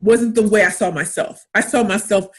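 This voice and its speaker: A woman with a St. Louis accent coaching a workshop on pitching, speaking emphatically and repeating key phrases for punch. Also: normal speed. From St. Louis, Missouri. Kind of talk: motivational workshop talk.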